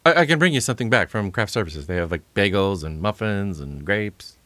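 A man's voice: on the low side, lively intonation, fairly quick, fairly bright tone, no room sound. An actor playing an over-eager, theatrical narrator of witch tales in an audio drama. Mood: awkward, hopeful. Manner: grandly dramatic storyteller, turning awkward. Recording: music underneath.

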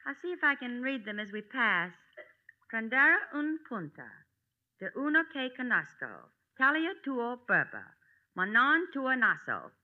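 A woman acting a wry, scolding, sharp-tongued travel companion in a 1950s radio comedy, needling drily. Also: a little high, a little slow. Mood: sightseeing. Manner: dry, deadpan needling. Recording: muffled, very noisy 1950s radio recording.

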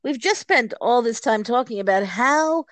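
A woman's voice: fairly high pitch, animated, normal speed, no echo. A woman in casual Talmud study, answering her partner with playful challenges. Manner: playful challenging back-and-forth.

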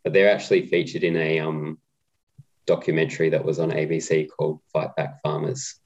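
A man with a very deep voice talks in a relaxed, hesitant way, often pausing on "um." His pitch barely moves, and the recording sounds dull and lo-fi. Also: slightly rough. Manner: relaxed, hesitant with many ums. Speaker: guest, co-op policy adviser. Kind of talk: casual interview chat.

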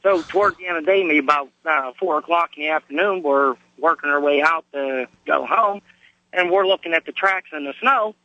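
A man from Pennsylvania, calling a radio show while hoarse from a cold, telling a story in a plain, folksy way. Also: a little high, a little fast, sharp tone, no room sound. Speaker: caller. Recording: dry, muffled phone line.

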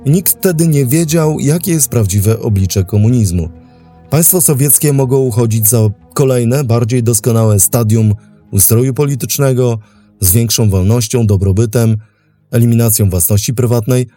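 A man's voice: mid-range voice, moderate pace, dark tone. A man narrating a historical documentary from a script as its host. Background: faint music.

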